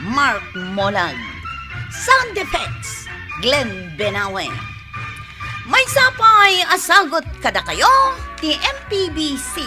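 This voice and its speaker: High, lively Ilocano woman announcer. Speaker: radio drama announcer. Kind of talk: scripted closing announcement.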